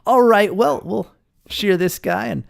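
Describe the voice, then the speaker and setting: A man hosting a gaming video with casual, playful, excitable roleplay commentary. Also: sits high, measured pace, gravelly, no room sound.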